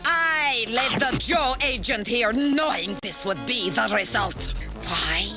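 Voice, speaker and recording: An actress playing a vengeful, scheming aristocratic cartoon villain, haughty and theatrical. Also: female voice, a little high, lively intonation, slow pace. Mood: gloating, vengeful. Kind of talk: 1980s cartoon voice acting. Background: loud music behind the voice.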